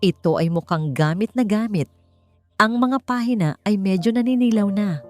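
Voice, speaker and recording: A woman's voice: mid-range voice, normal speed. A woman with a Filipino accent narrating a scripted dramatic romance story, as a storyteller. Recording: music under the voice. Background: background music.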